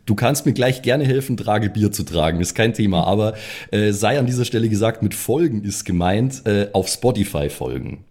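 A man's voice: on the low side, lively intonation, moderate pace, a little mellow. A man who plays on the show, chatting casually in improvised comic banter and often stammering and repeating phrases. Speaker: player on the show.

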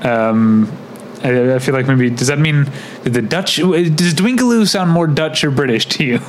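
A man co-hosting a podcast in casual, unscripted chat, speaking haltingly and correcting himself as he goes. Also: mid-range voice, slight background noise. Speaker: podcast co-host.

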